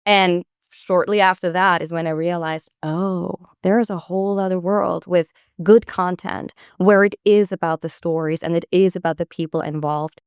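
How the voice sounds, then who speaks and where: A woman in her thirties from Switzerland, an actress talking as a guest in a podcast interview, upbeat and quick to laugh. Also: fairly low pitch, steady tone, clean tone, dry recording. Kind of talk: casual interview talk.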